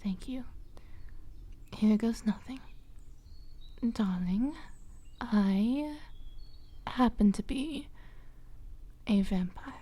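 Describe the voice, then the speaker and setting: A woman voicing a dramatic, affectionate female vampire companion in a soft, breathy half-whisper for a fantasy ASMR roleplay. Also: medium pitch, extremely slow, pauses often. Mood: nervous, hesitant. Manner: theatrical, hesitant, endearments.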